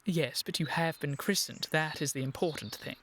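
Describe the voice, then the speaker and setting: An actor playing an earnest, exasperated young English gentleman in a comic period radio play, with a fussy, reproachful manner. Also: fairly high pitch, normal speed. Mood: exasperated insistence. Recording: clear background ambient noise.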